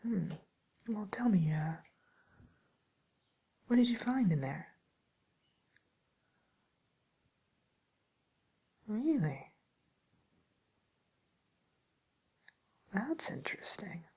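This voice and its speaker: A woman playing a gossipy, mildly menacing witch in a whispered ASMR roleplay, speaking in a breathy, hesitant, musing way. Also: mid-range voice, very animated, unhurried, full character voice. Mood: curious, probing. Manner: hesitant, musing, softly sly.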